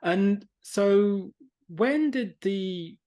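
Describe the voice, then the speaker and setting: Man, 40s, English-Irish accent, slightly high voice, easygoing rambling host. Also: expressive, extremely slow, darkish tone. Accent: mix of English and Irish. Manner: rambling, many fillers like "you know". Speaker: podcast host. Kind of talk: casual interview chat.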